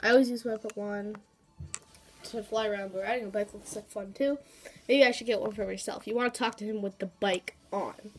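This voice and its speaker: A woman's voice: medium pitch, fairly even intonation, a little fast. A woman with a cold, sniffling a little, casually talking viewers through a handheld game and rambling with fillers and asides. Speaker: gaming YouTuber. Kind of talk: casual game walkthrough commentary.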